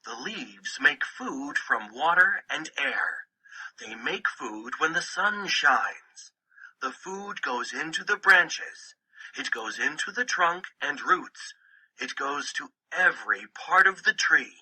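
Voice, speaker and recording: A man narrating a children's picture book in a deliberate storytelling manner, with short, separated phrases. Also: fairly high pitch, animated, bright, forceful tone, husky, rough recording.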